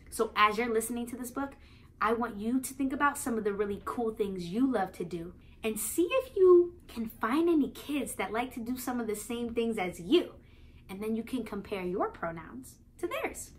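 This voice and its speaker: A young woman with an American accent hosts a storybook read-aloud for little kids. Her voice sits on the high side, and she speaks expressively and playfully, moving between teacherly explanation and sing-song reading. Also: expressive, normal speed. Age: young adult. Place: small living room, indoors on a couch.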